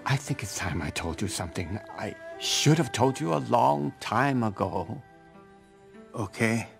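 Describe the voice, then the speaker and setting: A man voicing an awkward, hesitant father goose in an animated film, talking haltingly. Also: on the low side, vocal fry, soft background music.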